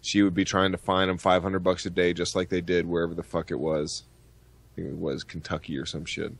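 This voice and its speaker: A man with a low voice talks as a podcast host. He rants in a casual, profane way and comes across as blunt and outspoken. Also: flat delivery, fairly quick, creaky, no room sound. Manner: blunt, heavy swearing. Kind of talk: ranting, profane podcast chat.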